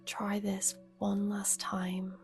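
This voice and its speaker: A woman guiding a meditation as a yoga nidra teacher, speaking softly and soothingly with a breathy, unhurried delivery. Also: mid-range voice, completely flat delivery, faint music behind. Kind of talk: guided meditation.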